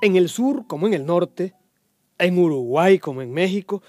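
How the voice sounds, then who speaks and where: High-voiced, folksy explainer. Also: male voice, expressive, normal speed, a little mellow, faint music behind. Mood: knowing, resigned. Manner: conversational, emphatic repetition. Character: folksy, resigned man explaining history to a lady. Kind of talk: radio drama dialogue.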